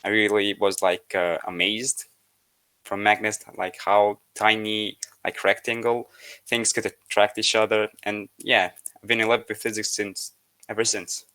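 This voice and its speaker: A seventeen-year-old high school student on a podcast, speaking hesitantly with many pauses and fillers. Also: male voice, little pitch movement, bright, forceful tone. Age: teens. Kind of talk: casual podcast chat.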